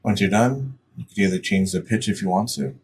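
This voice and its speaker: Low-voiced man giving a software tutorial. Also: fairly quick, vocal fry, breathy edge. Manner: plain-spoken, instructional. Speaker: tutorial presenter. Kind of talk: casual step-by-step software tutorial. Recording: distant mic, faint background noise.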